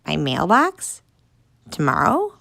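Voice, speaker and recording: A woman voicing a brave young boy who shouts and demands answers. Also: sits low, wildly swooping pitch, slow, gravelly, no echo, exaggerated voice. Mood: demanding. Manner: loud and demanding.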